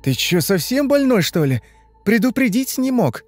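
A man voicing a shrill, domineering young woman, a cold and stern sister who cuts others off, in an audiobook story. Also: big pitch swings, quick pace, a little mellow, soft background music. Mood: indignant, stern.

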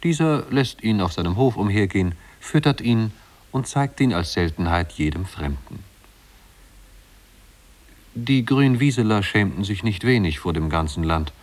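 A man's voice: fairly low pitch, animated, a little slow. A man narrating a story in a scripted 1950s German radio play.

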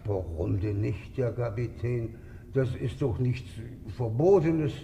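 A man's voice: mid-range voice, expressive, takes it slowly, background noise. An actor playing a stolid working seaman in a 1950s radio drama, plain-spoken, deferential and a little defensive. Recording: long reverberant echo, old radio recording.

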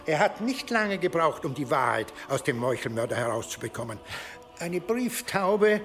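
High-voiced, mocking medieval archbishop. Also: male voice, very animated, a bit bright. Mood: cold, triumphant. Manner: accusing, deliberate, mocking. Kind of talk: radio play acting.

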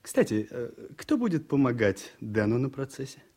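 An actor playing a gossipy court clerk in a radio drama, talking in a familiar, insinuating way. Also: male voice, medium pitch, very animated, moderate pace, warm tone. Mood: chatty, curious. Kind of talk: Soviet-era radio drama acting.

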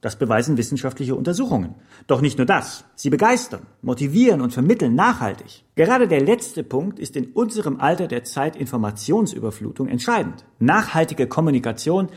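An actor playing a slick management trainer in a parody corporate tutorial, promoting and instructing in buzzwords. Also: male voice, fairly high pitch, big pitch swings, a little fast, husky. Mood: promotional. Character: slick male management-seminar announcer full of buzzwords.

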